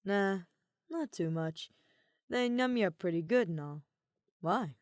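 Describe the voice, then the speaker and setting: A woman doing a laid-back, gently teasing teen boy. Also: medium pitch, unhurried, no echo, studio quality, natural voice. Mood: relaxed. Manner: relaxed, casual, gently teasing.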